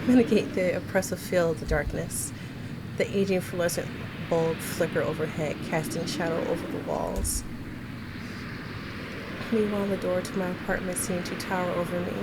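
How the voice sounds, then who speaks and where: Female game streamer, lower register, halting casual narration with side remarks, very noisy recording. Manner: halting reading with stumbles, casual swearing. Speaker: video game streamer reading aloud and commenting. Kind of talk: casual read-aloud of a visual novel with side remarks.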